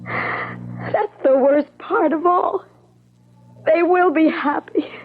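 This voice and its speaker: An actress playing a distraught, imploring fiancée in an old-time radio drama. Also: female voice, very high voice, takes it slowly, background noise, heavily acted. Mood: anguished, tearful. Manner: imploring, emotional. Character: distraught, pleading young fiancée, sensitive and moral. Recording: tinny narrow vintage radio recording.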